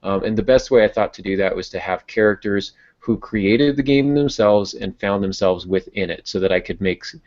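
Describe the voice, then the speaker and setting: A man's voice: fairly low pitch, moderate pace, hardly any pauses, darkish tone. A man talking casually on a podcast as a host and novelist explaining his work. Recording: dull lo-fi voice recording.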